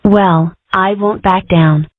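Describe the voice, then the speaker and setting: A woman's voice: medium pitch, steady tone, slow, no room sound. A woman voicing a brash, eccentric cartoon schoolgirl who declares things bluntly and theatrically in an amateur comic dub. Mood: self-assured. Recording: thin, phone-like lo-fi audio.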